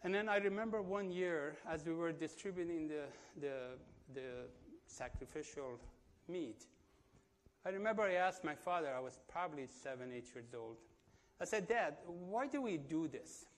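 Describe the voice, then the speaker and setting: Iranian-accented man giving halting church testimony. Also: a little high, expressive. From Iran. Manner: halting, full of fillers and restarts. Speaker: guest speaker giving testimony.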